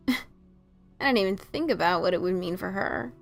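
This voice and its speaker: A woman acts out a brash pony adventurer who doubts herself. She stammers and hesitates in a casual way, with a gravelly fry at mid pitch. Faint music plays under this fan audio drama. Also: lively intonation, moderate pace. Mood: rueful. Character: brash, self-doubting female pegasus pony adventurer-writer.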